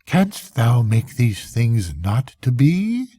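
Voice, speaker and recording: A man's voice: medium pitch, sing-song, slow pace, mellow, slightly rough, no room sound, studio quality, heavily acted. A man voicing a grave, aged, world-weary bishop in a bedtime storybook, giving solemn counsel in archaic phrases. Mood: admonishing.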